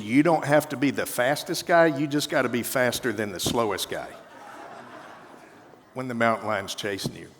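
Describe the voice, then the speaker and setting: A man hosting a conference, introducing the keynote in a reflective, conversational way as he builds his point with examples. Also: fairly low pitch. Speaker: event host introducing the keynote. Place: conference stage in a large hall. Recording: long hall reverb.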